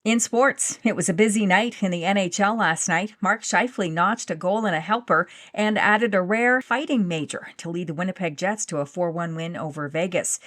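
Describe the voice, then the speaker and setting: A woman's voice: fairly low pitch, moderate pace, hardly any pauses, a bit bright, dry recording, clean studio sound. A woman reading a scripted radio newscast as the anchor. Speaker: news anchor.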